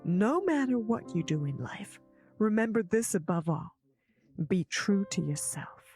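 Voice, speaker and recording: A woman playing a tender, wise elderly grandmother in a game, speaking in a gentle, measured, affectionate way over music. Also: mid-range voice, expressive. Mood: earnest, tender. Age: older. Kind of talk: game voice acting.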